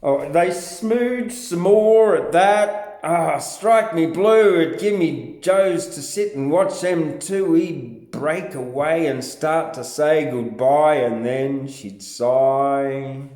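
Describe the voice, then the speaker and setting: An Australian man giving a theatrical, drawn-out recitation of comic vernacular verse in character as a larrikin. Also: on the high side, darkish tone. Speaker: poetry reader. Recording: roomy, echoing sound.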